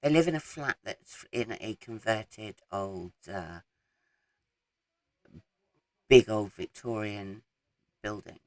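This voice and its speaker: A woman, croaky from a head cold, chatting casually about her week's reading and rambling with frequent ums. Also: extremely low pitch, measured pace, no echo. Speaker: book vlogger. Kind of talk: casual reading wrap-up chat. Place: small garden shed.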